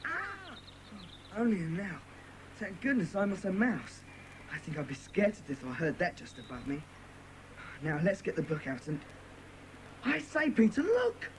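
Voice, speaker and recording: A very animated schoolboy acted by a woman, excitable, kindly and a touch nervous. The speech is exclamatory and swings widely in pitch, in a children's audio drama with clear background noise. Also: normal speed, pauses often, poor audio. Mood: startled, excited. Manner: expressive, exclamatory.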